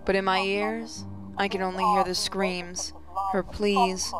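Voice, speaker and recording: A woman with a medium-pitched voice narrates a visual novel in the first person. Her delivery is dramatic and emotive, and music plays clearly behind her. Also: little pitch movement, slow pace. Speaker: gaming channel host reading aloud.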